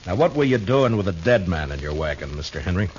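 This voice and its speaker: A man playing a calm, measured frontier marshal who also narrates, in a 1950s radio drama. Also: low voice, a little hiss or hum. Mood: steady. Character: Matt Dillon, steady frontier marshal. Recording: dull lo-fi old radio recording with music.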